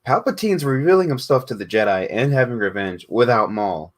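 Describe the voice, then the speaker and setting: A man's voice: mid-range voice, animated, brisk. A man co-hosting a podcast, speaking casually in a fan discussion. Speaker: podcast co-host.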